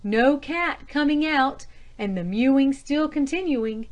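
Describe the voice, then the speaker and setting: A woman's voice: high-pitched, expressive, measured pace, pitched above the performer's own voice. A woman voicing a nervous, dramatic wife and mother in a storybook ghost tale. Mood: earnest recounting.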